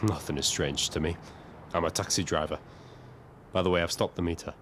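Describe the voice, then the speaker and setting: An actor playing a lonely, nervous but kindly taxi driver in an audio drama, understated and hesitant with dry humour. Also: male voice, low voice, fairly even intonation, fairly quick, fairly bright tone, a little hiss or hum. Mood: gentle, wry. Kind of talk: audio drama narration and dialogue.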